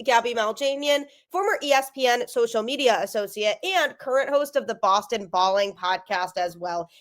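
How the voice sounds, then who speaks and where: A young woman with a slightly high voice runs her sports podcast solo. She sounds stuffy from a cold but keeps her delivery energetic and emphatic. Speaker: podcast host.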